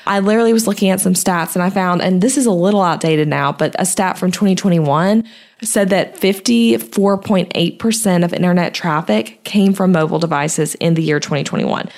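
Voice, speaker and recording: A young woman hosting her own podcast, talking casually and a little hoarse from a sore throat, dropping in "like" often. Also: mid-range voice, a little mellow. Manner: chatty, uses "like" a lot. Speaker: podcast host and web designer. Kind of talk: casual solo podcast talk.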